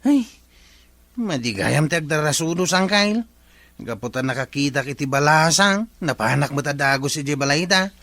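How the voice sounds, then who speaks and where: A man's voice: on the high side, lively intonation, normal speed, bright, forceful tone, no room sound. A man playing an indignant village bachelor in a radio comedy drama, exclamatory and protesting.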